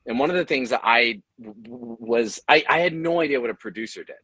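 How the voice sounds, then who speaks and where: A man's voice: mid-range voice, fairly quick, bright, forceful tone, husky, no echo. A man speaking conversationally on a panel, analytical, often restarting words as he works through an idea. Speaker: panelist, audio drama creator.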